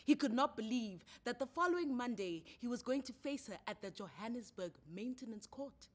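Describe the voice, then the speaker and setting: Animated South African woman storyteller. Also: fairly high pitch, normal speed. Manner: expressive, theatrical. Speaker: story reader. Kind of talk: dramatic short story narration.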